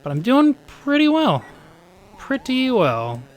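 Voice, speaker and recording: A man of forty, a bit hoarse, chatting upbeat with viewers while he plays a live speedrun from home. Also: very high-pitched, wildly swooping pitch, slow, low-quality recording. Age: 40s. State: slightly hoarse. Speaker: speedrun streamer. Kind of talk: live-stream gameplay commentary.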